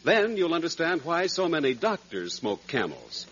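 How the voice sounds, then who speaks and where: Animated male radio ad announcer. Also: on the high side, moderate pace. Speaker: commercial announcer. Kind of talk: scripted 1940s radio advertisement. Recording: dull, slightly noisy old radio broadcast.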